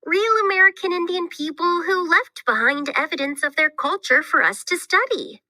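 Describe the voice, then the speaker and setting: A woman's voice: extremely high pitch, moderate pace, sharp tone, dry recording, clean studio sound, pitched above the performer's own voice. A woman voicing an eager, knowledgeable young girl explorer in a scripted read-aloud for children, explaining things with enthusiasm. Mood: wondering, upbeat.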